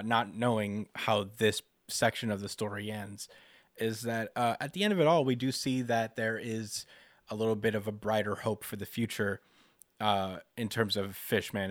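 Male podcast co-host, slightly deep, dry sound, casual and reflective, feels his way through arguments. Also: steady tone, moderate pace, no echo. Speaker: manga discussion podcast co-host. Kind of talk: casual analytical chat.

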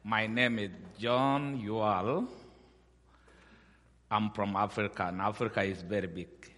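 A middle-aged man from Sudan, a missionary giving a guest sermon in slow, halting, simple English. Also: lowish voice, steady tone, a bit bright, in a large hall. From South Sudan.

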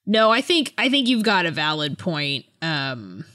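A woman with a fairly low voice talks at a slow pace. She is a podcast co-host in a casual book discussion, feeling her way through her thoughts as she goes. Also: sing-song, fairly bright tone.

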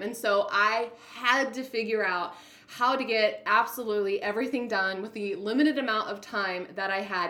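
A woman with a naturally loud voice hosting a podcast solo, talking in an upbeat, hyper and emphatic way. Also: a little high, echoey room. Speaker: podcast host, business coach.

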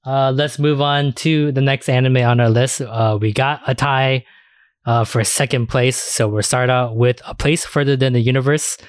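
A man's voice: mid-range voice, steady tone, moderate pace, clean tone, no room sound. Guy hosting an anime podcast, loose sweary chat, really dry close sound. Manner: informal, profanity-laced, filler-heavy talk. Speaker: podcast host. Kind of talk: casual anime discussion podcast.

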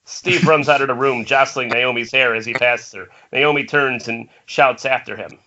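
A man's voice: medium pitch, moderate pace, sharp tone. A man narrating the stage directions of a screenplay in an amateur table read. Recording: dull, noisy internet call audio.

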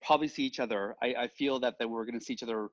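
A man talks in a relaxed podcast chat. He rambles warmly and keeps restarting his sentences as he looks for the words. His pitch sits in the middle for a man. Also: very fast, lo-fi sound. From West Texas. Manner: warm and rambling, with many restarts. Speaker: guest. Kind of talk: casual chat.

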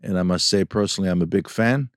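A man's voice: deep voice, quick pace, no room sound, clean studio sound. A man hosting a podcast, interviewing his guest in a conversational way. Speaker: podcast host, former diplomat.

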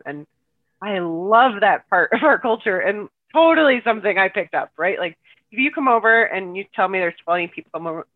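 A guest raised in the United States chats casually, telling stories in a warm, reflective way. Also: mid-range voice, dry recording. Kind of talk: casual podcast conversation. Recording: tinny phone-like remote line.

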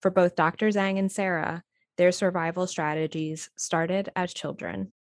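A woman's voice: on the low side, fairly even intonation, a little slow, no echo, studio quality. A woman reading scripted documentary narration.

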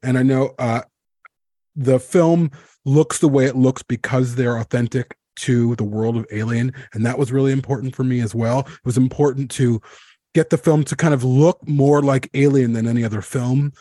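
A man hosting a casual roundtable, talking earnestly in a halting way and circling back over his phrases. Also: medium pitch, fairly even intonation, dark tone, dry recording, studio quality. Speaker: podcast host and co-director.

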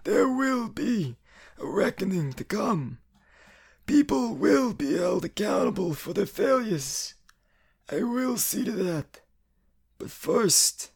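An actor playing a grave older male guardsmith boss in a fantasy radio drama, husky, formal and deliberate with long pauses. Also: medium pitch, sing-song, full character voice. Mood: solemn, resolute. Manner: formal, deliberate, pausing between phrases.

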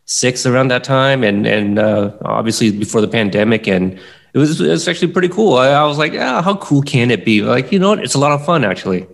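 A man's voice: medium pitch, animated, brisk, vocal fry. A man in his late thirties hosting a casual interview, asking friendly, rambling questions. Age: 30s. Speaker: podcast host.

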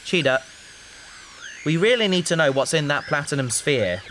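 An actress playing an earnest researcher on a risky space mission, pleading and challenging in an audio drama. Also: female voice, low voice, lively intonation, normal speed, fairly bright tone. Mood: urgent, pleading. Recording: faint hiss behind the voice.

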